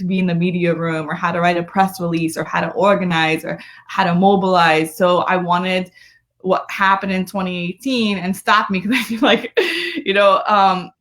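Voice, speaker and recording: American female activist guest, lowish voice, conversational, earnest, emphatic delivery. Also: fairly bright tone. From United States, born in Brooklyn, living in Florida. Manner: earnest, emphatic, often seeking agreement with "right?". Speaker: guest, community activist and political strategist. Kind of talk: conversational interview on a live stream.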